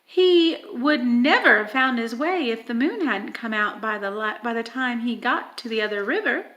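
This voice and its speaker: A woman reading a children's story aloud in an expressive, dramatic manner, with emphatic stresses and hushed moments. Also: on the high side, moderate pace, dull audio. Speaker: audiobook reader.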